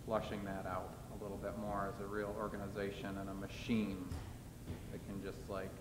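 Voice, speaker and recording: Rambling low-voiced man in an echoey hall. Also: completely flat delivery, normal speed. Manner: rambling, many fillers and restarts. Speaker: farmer panelist. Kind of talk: panel discussion answer. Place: large hall, panel event. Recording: echoey hall with background noise.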